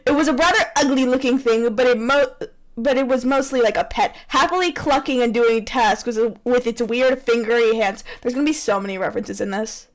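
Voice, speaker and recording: Young woman reading fanfic aloud, animated. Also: fairly high pitch, fairly quick. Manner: animated, breaks off into laughter and asides. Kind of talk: comedic reading with friends.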